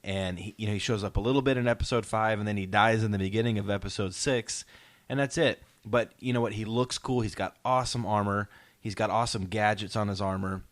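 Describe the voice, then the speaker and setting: Podcast host: man with a lowish voice, casual and explanatory, encouraging his co-hosts along. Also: steady tone, brisk.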